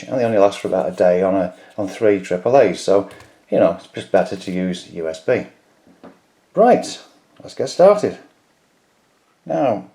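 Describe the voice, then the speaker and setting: A man's voice: fairly low pitch, warm tone. A man hosting a casual DIY electronics tutorial, explaining each step in a plain-spoken, informal way. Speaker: DIY electronics video host.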